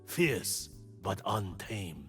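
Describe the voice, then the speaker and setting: A male actor playing a stern, disciplined martial arts monk warrior in a video game, speaking in solemn, measured pronouncements. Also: fairly low pitch, sing-song, faint music behind, heavily acted. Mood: solemn, grave. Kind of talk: game voice lines, combat barks.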